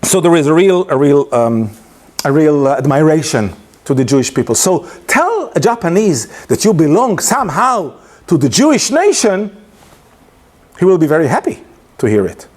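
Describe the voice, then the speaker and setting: Animated man, lively high voice. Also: moderate pace. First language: not English. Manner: hesitant, many restarts and fillers; animated storytelling with laughs. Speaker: lecturer. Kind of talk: informal lunchtime talk.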